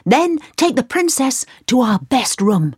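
Woman reading as young man hero, eager, commanding, pitched up, lively, dry close sound. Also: unhurried, slightly rough, no room sound, full character voice.

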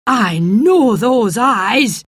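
A woman voicing a gruff, cross old male gardener in a storybook reading. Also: a little high, lively intonation, extremely slow, dry recording, voice pushed up, exaggerated voice. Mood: awed. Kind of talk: storybook character voice.

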